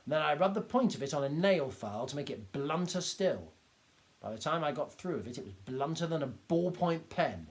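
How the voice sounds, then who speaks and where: A man voicing an excitable little village doctor who talks in a high, loud, indignant rush and trips over his words. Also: a little fast. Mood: gleeful storytelling. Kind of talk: audiobook character voice.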